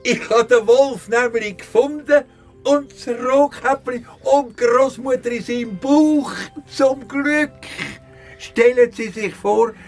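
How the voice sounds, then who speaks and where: An amiable, excitable huntsman from a fairy-tale radio play for children. His Swiss German voice sits very high for a man, with faint music in the production. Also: slow pace, heavily acted. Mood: excited, relieved.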